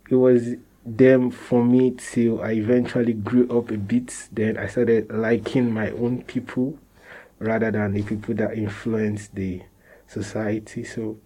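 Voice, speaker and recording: A young man with a Nigerian accent, answering interview questions in a relaxed way, often restarting phrases and laughing softly. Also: mid-range voice, rough recording. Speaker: interviewee, musician.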